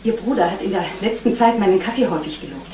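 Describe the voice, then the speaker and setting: A self-possessed divorced fiancée in a retro radio crime play, acted by a woman. She speaks plainly and with composure at a middling pitch. The sound is roomy and set back from the microphone, with some hiss and noise. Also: lively intonation, quick pace, warm tone, natural voice. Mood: firm, controlled. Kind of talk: 1970s German radio crime drama acting. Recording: roomy, distant, noisy old radio recording.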